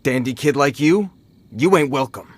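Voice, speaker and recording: An actor playing a sneering bandit thug in a video game, mocking and slangy. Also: male voice, mid-range voice, lively intonation, moderate pace, lightly acted. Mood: mocking, hostile.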